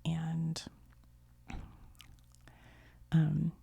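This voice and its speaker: A middle-aged woman whispers close and gently, in the soft chatty way of an ASMR video, letting her thoughts trail off. Her whisper sits low and is thick with grit and fry. Much of it is pure breath. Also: completely flat delivery, drawn-out words, dry recording. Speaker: video creator.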